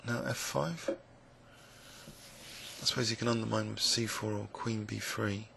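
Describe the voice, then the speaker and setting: A man with a croaky, gravelly voice, musing hesitantly with many fillers as he commentates his own blitz chess game. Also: fairly low pitch, fairly even intonation, a little slow, background noise. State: croaky voice, clearing throat. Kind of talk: live game commentary, thinking aloud.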